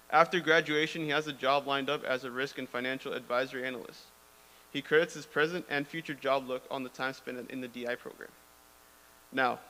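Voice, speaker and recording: A man reading a prepared public comment at a school board meeting, brisk with a few restarts. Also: mid-range voice, bright, forceful tone. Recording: reverberant room with faint hum.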